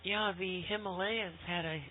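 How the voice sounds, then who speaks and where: A woman hosting a casual talk radio conversation, speaking haltingly with thoughtful pauses. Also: mid-range voice, steady tone. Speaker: radio show host. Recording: tinny phone-like line, humming noise.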